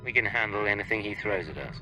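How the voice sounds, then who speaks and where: A man playing a cool, confident, smooth-talking secret agent in a video game. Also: fairly low pitch, animated, fast talker, over music, close to an everyday voice, low-quality recording. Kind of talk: scripted video game acting.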